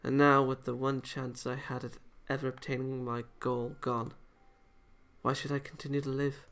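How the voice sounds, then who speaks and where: A defeated young villainess from a visual novel, acted out by a man. The delivery is downcast and self-pitying, with a creaky edge and a bright tone. She sounds hopeless and hard on herself. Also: mid-range voice, flat delivery, normal speed. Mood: dejected, hopeless.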